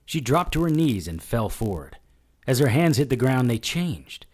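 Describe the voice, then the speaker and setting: An actor playing a wisecracking paranormal detective in an audio drama, with a sardonic, hard-boiled, dramatic delivery. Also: male voice, medium pitch, big pitch swings, normal speed, a little mellow. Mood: tense, wry. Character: wisecracking male paranormal detective narrating his own ordeal. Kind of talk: audio drama narration and dialogue.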